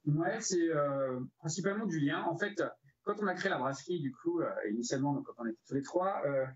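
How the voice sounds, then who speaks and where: A man in his thirties from Brittany, chatting casually as an interview guest, pausing often as he feels out his sentences. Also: on the high side, a little hiss or hum. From Brittany, France. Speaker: brewery co-founder, interview guest. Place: indoor room, video call. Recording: dull, lo-fi video call audio.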